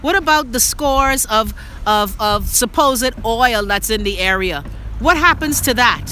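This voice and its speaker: A woman's voice: fairly high pitch, lively intonation, unhurried, bright, forceful tone. A Bahamian woman hosting a live interview outdoors, talking in a slangy, teasing way and calling her guest "girl.". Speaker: talk show host. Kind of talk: casual live street interview. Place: outdoors on a loud street. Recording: noisy street traffic behind.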